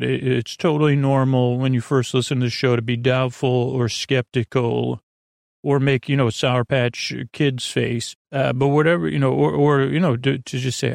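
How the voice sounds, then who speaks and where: Man, creaky fry, lulling, meandering and halting, bedtime podcast host, dry close sound. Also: mid-range voice, no echo. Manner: meandering, halting, full of tangents and self-corrections; lulling, soothing. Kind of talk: rambling bedtime monologue.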